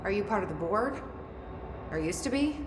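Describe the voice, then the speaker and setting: A woman's voice: expressive, moderate pace, heavy background noise, close to an everyday voice. An actress playing a wry, plainspoken young woman agent in a video game, talking in a dry, conversational, sardonic way. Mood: wry, puzzled. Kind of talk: video game cutscene acting. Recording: echoey hall, loud rumbling ambience.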